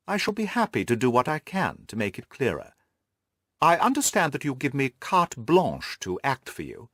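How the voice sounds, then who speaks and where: A man voicing a brisk, courteous and confident detective with precise, self-assured speech in an audiobook. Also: medium pitch, a bit bright, dry recording, clean studio sound. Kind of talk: audiobook character dialogue.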